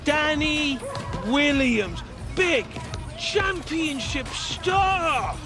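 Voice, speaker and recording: A man's voice: extremely high pitch, lively intonation, extremely slow, music in the background, full character voice. An actor playing a cocky, joking young British footballer, teasing his mate in a football video game. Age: young adult. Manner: teasing, cheeky. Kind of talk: bantering dialogue in a football video game story.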